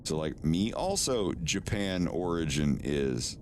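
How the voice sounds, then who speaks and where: Laid-back guy streaming, real low voice, talks slow and stumbles over his words a lot. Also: a little hiss or hum. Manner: relaxed, stammers and repeats words, swears casually. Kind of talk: casual live-stream chat while doing language lessons.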